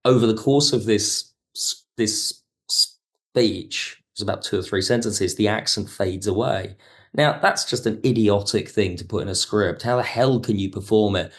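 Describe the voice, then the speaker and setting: Guy with a lowish voice chatting in an interview, keen and thoughtful. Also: unhurried. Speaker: podcast guest, audio drama writer and director. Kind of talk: casual interview chat.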